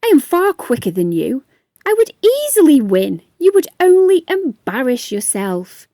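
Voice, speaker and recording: A woman's voice: high-pitched, wildly swooping pitch, a little slow, dark tone, dry recording, clean studio sound, pitched above the performer's own voice, heavily acted. A woman voicing a boastful, impatient young male ant who brags in a storybook reading. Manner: bragging, scornful.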